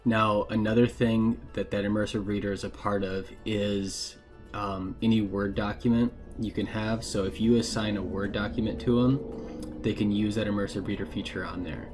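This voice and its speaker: A man narrating a casual software tutorial in a plain, informal, explanatory way. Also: fairly low pitch, steady tone, normal speed, music in the background. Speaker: instructor giving a software tutorial.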